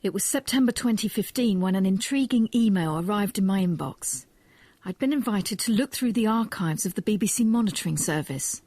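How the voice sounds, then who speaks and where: A woman presenting a documentary in measured, articulate scripted narration. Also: medium pitch, animated, mellow.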